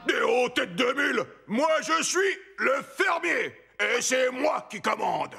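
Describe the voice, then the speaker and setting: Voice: high-pitched, animated, slow, vocal fry, sharp tone, shouting, exaggerated voice. An actor voicing an exasperated, scolding farmer in a French children's cartoon, with music playing behind. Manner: scolding, exclamatory. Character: exasperated grumpy farmer ordering his animals around.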